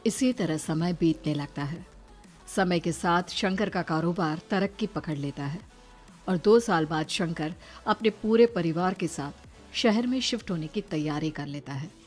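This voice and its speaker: A woman's voice: on the low side, animated, measured pace, a little mellow, soft background music. A woman giving dramatic scripted narration for a story.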